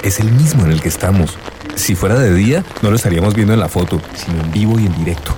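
Actor as deep-voiced earnest young man in love. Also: lively intonation, quick pace, music in the background, natural voice. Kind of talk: radio drama dialogue, storytelling.

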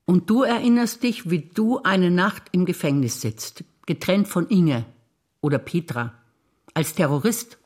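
An actress playing a wistful, playful woman recalling shared memories in a theatrical duologue, with deliberate, chant-like repetition. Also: lowish voice, darkish tone. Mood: playful, teasing. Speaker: stage actor. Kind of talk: theatrical spoken monologue of memories.

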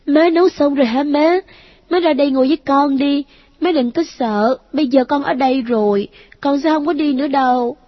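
A woman's voice: high-pitched, normal speed, no room sound, lo-fi sound, pitched above the performer's own voice, natural voice. A woman voicing the ghost of a dead little boy, clingy and pleading in a childlike whine. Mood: eager.

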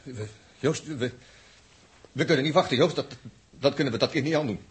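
A man playing a gentle, reluctant space crewman in a 1960s Dutch radio drama, hesitant and soft-spoken, with a Netherlands accent. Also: mid-range voice, moderate pace, breathy edge. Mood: reluctant, uneasy.

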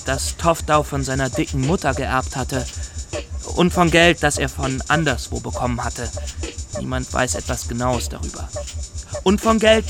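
A naive young Somali fisherman telling his tale in a radio play. His voice is fairly high, earnest and deliberate, with emphatic phrasing. Music runs clearly underneath. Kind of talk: radio drama, formal storytelling monologue.

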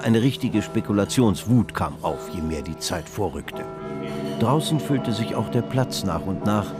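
A man narrating a historical radio drama in measured literary prose. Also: on the low side, close to an everyday voice. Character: measured male narrator of a 19th-century finance saga.

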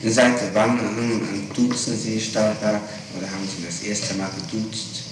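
A male interrogator with a fairly low voice puts formal, probing questions and pedantically corrects the answers. He is recorded at a distance on a noisy 1960s tape. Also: flat delivery, unhurried, very echoey. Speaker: police interrogator. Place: reverberant room, far from microphone.

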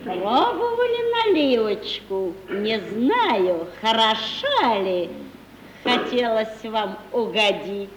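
Coy, high-voiced housekeeper. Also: female voice, big pitch swings, slow, highs cut off. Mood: coy, flirtatious. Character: coy, fawning housekeeper woman of a country estate. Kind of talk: 1950s Russian radio stage comedy acting. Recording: roomy, noisy old 1950s radio recording.

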